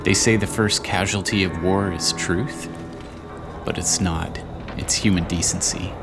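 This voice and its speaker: Man acting Christo, a deep-voiced, weary war father. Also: steady tone, unhurried, music in the background. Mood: grave, guilty. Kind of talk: dramatized first-person journal monologue.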